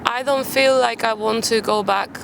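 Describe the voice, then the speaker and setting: A woman's voice: on the high side, fairly even intonation, normal speed, creaky. A young woman from Spain, a native Spanish speaker with a British accent, chatting casually as an interview guest. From Málaga, Spain. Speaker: podcast guest. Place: outdoors in a city park. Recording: outdoor urban background noise. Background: faint park and traffic ambience.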